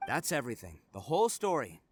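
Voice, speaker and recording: A man's voice: mid-range voice, huge pitch swings, fast talker, husky. An actor playing a brash, honorable young male oni gang boss in a video game, with a boisterous, dramatic delivery. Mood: confiding, resolute. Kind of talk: English video game voice acting.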